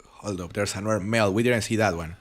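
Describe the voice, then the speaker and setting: Chatty male streamer, low voice, accented. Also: fast. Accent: foreign accent. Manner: relaxed, chatty, rambling with frequent restarts. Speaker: game streamer. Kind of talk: live game stream commentary reading chat.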